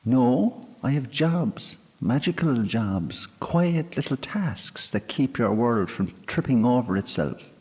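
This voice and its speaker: A man voicing a tiny, bearded, easily offended male fairy, huffy and sharp-tongued. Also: mid-range voice, big pitch swings, takes it slowly, hardly any pauses, breathy edge, pitched above the performer's own voice, full character voice. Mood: lecturing. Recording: long hall reverb.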